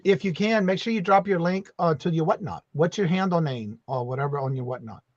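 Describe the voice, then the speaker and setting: A man's voice: on the high side, fairly quick, no room sound, lo-fi sound. A man hosting a casual live stream, chatty and full of fillers, saying y'all a lot. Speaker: host.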